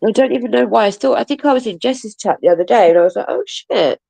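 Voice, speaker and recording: A woman's voice: fairly high pitch, lively intonation, quick pace, creaky, warm tone, no room sound. A woman hosting a casual livestream, gossiping and swearing with a bunged-up cold and laughing often. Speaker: livestream host. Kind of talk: casual chat with viewers.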